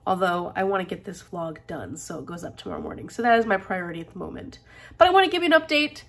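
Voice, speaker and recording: A woman's voice: fairly low pitch, very animated, fast talker, vocal fry, fairly bright tone. A woman in her thirties, congested with a head cold, chatting casually and upbeat to camera in her living room. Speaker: book vlogger. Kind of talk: casual vlog chat.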